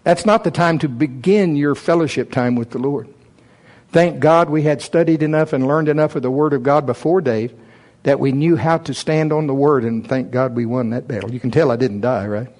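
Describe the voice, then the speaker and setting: A middle-aged man giving a folksy, self-deprecating testimony as a preacher, telling personal stories in an animated way. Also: medium pitch, normal speed. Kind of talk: sermon, personal storytelling.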